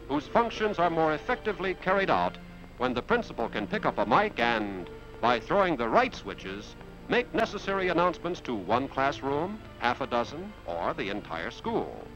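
An American man narrates in the scripted style of a 1950s industrial film. His pitch moves in lively swings as he explains things like an announcer. The sound is a dull, band-limited vintage film track with faint music underneath. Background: background music.

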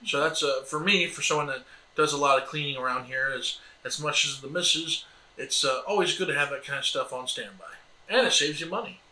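A man's voice: a little high, a little fast, pushed and bright. An American man in his thirties co-hosting a homesteading vlog, talking casually and in a wordy, meandering way. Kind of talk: casual explanatory chat to camera. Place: indoors at a table in a small sunlit room by windows. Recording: echoey room.

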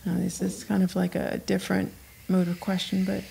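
Gravelly, husky woman moderator. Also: on the low side, moderate pace, low-quality recording. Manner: relaxed, joking. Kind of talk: moderating a panel. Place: stage of a concert hall, in front of an audience.

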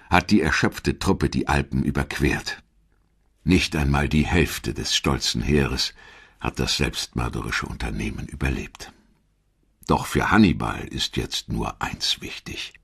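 A man narrating a scripted history documentary in a measured, dramatic storytelling manner. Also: a bass voice, fairly bright tone, husky.

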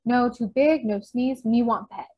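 A slightly husky woman voicing an eager young cave boy in a children's storybook, speaking in simple broken caveman talk. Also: fairly high pitch, a little slow, mellow, lo-fi sound. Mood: pleading. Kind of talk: children's storybook read-aloud.

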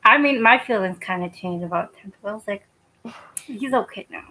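A woman's voice: medium pitch, moderate pace, sharp tone, slight background noise, poor audio. Woman in a casual book chat over video call, medium voice, bit hesitant, qualifies her own opinions. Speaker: book discussion panelist. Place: room at home, video call.

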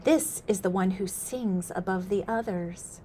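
A woman voicing a gentle, patient male river otter who speaks calmly and earnestly. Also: medium pitch, unhurried, a little hiss or hum, close to an everyday voice.

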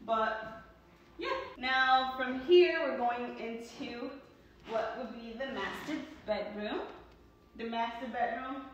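A woman's voice: on the high side, slow, far from the mic, highs cut off, slight background noise. Chatty woman in echoey empty rooms. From New York. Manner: chatty, rambling with many ums and likes. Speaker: vlogger giving a home tour. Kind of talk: casual walking house tour. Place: indoors, sparsely furnished new house. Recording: echoey bare rooms, voice off-mic.

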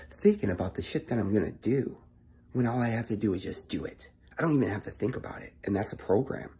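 A young man giving a casual motivational monologue into his phone at home, keeping his voice low and swearing freely. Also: dark tone, husky. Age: young adult. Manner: talking low and swearing often, earnest. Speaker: vlogger. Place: indoor room at home. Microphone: phone held close at arm's length.

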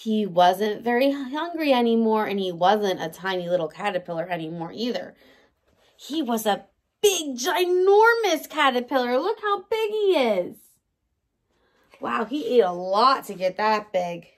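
A woman in her thirties, a teacher reading a picture book aloud to young children in an animated way, with questions for them. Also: fairly high pitch, unhurried. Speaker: early learning teacher. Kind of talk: children's story time read-aloud. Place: indoors by a large window in a room at home.

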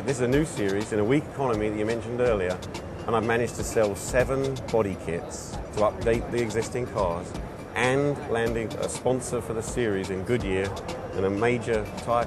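Middle-aged man, low pitch, animated restarts, trade show interview, music bed. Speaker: racing series founder. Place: busy indoor trade show hall.

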